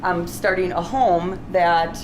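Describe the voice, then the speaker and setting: A woman's voice: lowish voice, steady tone, slow. A middle-aged woman hosting a cooking series in a casual, chatty, enthusiastic, unscripted way. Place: restaurant kitchen, indoors. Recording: clearly noisy kitchen ambience.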